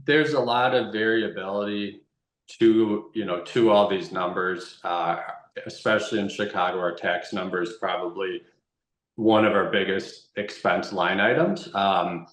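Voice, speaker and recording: A man's voice: deep voice, fairly even intonation, unhurried. A man explaining things informally as a guest expert, repeating phrases for emphasis. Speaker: guest real estate investment expert. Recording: roomy, echoey sound.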